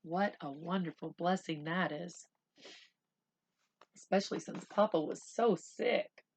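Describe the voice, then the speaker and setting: A woman reading a storybook aloud for children, with expressive, deliberate phrasing. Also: fairly low pitch, slight background noise. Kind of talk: read-aloud storytelling with simple asides.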